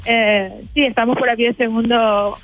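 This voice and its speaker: A woman's voice: on the high side, steady tone, measured pace, soft background music, poor audio. Young woman talking hesitantly by phone. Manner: hesitant, mixing in English words. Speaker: café owner, phone guest. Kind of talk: casual phone interview. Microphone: phone line.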